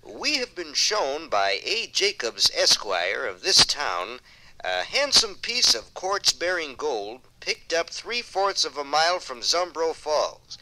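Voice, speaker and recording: A formal 19th-century newspaperman declaims his report in a high, very bright voice. It swoops with emphasis, in 1950s radio drama style. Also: big pitch swings, slow pace, full character voice, low-quality recording. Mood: formal, proclaiming.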